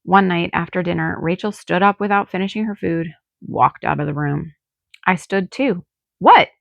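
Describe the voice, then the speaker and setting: A woman's voice: fairly low pitch, moderate pace, gravelly, no room sound, muffled recording. A woman narrating a first-person novel as an audiobook, reading expressively and acting out the drama. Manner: dramatic, acts out dialogue. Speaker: author reading own novel.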